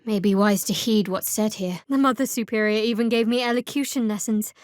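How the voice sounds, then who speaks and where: A woman voicing a guarded, wry young female cleric of a dark goddess in a fantasy video game, with a dry, measured delivery. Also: mid-range voice, fairly even intonation, no echo, close to an everyday voice.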